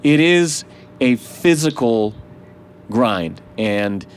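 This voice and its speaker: A man's voice: mid-range voice, expressive, very slow, smooth. A man, a musician hosting an interview, chatting casually, laughing often and swearing easily.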